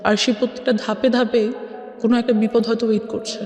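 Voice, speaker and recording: A woman's voice: a little high, level tone, fast talker, a little mellow, faint music behind. A young woman with a Bangladeshi accent giving a conversational personal account as an interview guest, mixing in English phrases. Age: young adult. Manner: mixes English phrases into her talk. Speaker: interview guest telling her life story. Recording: echoey with long reverb.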